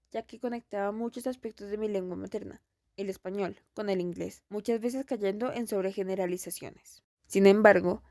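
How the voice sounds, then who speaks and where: A woman reads a first-person narration aloud, her voice mellow and warm. The sound is close and dry, with no room around it. She keeps a steady, even pace. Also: medium pitch, dry recording. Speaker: student presenter.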